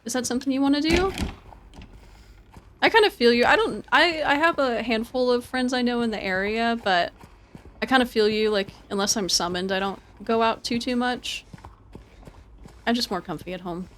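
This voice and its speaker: A woman's voice: on the high side, moderate pace, pauses often. A woman giving casual live game commentary and chatting with viewers, informal and prone to repeating her words and phrases. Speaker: game streamer.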